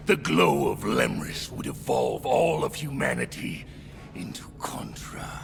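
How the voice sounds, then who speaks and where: An actor playing a menacing, zealous alien warlord in a video game, with a gravelly voice and pompous, theatrical oratory. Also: male voice, exaggerated voice. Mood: solemn, fervent. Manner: theatrical, pompous oratory with hissed whispers and booming shouts. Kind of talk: video game villain monologue.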